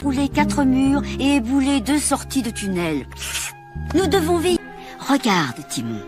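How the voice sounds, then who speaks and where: A woman voicing a protective, middle-aged meerkat mother in an animated film dub, emphatic and dramatic. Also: high voice, a little slow. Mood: fussing. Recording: loud music behind the voice.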